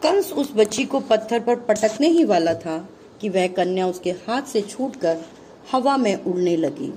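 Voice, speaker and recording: A woman narrates a children's puppet show from a script. She is very unhurried and deliberate, with a mid-pitched voice and faint noise behind her. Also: expressive. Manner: deliberate, phrase-by-phrase delivery.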